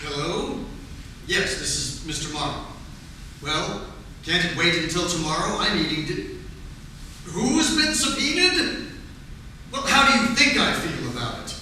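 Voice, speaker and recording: An exasperated, defensive prosecutor acted by a man in a vintage radio play. The voice is high and very forceful, insisting on each point. It comes from some way off in a heavily echoing hall. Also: sing-song, unhurried, long pauses, heavily acted. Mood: irritated. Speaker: community theatre actor. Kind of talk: 1940s radio play acting. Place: community theatre stage performance. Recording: echoey hall, distant mic, faint noise.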